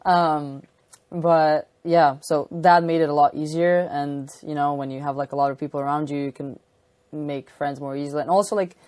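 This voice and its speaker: A woman's voice: low-pitched, fairly even intonation, normal speed, a little mellow, dry recording. A young woman with a Croatian accent, a college squash player chatting as an interview guest, filling her talk with "like" and laughing easily. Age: young adult. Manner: chatty, heavy filler use of "like", laughs easily. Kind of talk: casual interview chat.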